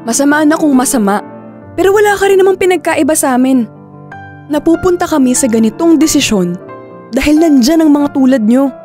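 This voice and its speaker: A woman's voice: high-pitched, lively intonation, fairly quick, warm tone, faint music behind. A woman with a Filipino accent playing a desperate, stubborn young woman in a radio drama. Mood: defensive. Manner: insistent, pleading, defensive.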